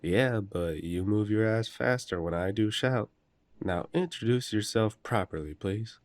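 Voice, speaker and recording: A man playing a courteous, protective eldest werewolf brother in an audio roleplay, gently authoritative and polite. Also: on the low side, unhurried, dry recording, close to an everyday voice. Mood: firm, scolding. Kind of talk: roleplay audio drama acting.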